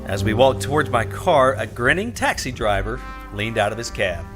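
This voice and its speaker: A man's voice: mid-range voice, sing-song, normal speed, a bit bright, faint music behind. A man playing a shrewd, skeptical private detective in a radio drama, dry and probing as he questions and narrates. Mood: casual, watchful.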